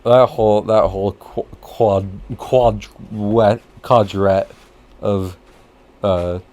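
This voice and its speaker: A man hosting a casual podcast chat, rambling and jokey, with easy swearing and an animated delivery. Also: on the low side, takes it slowly. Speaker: podcast host.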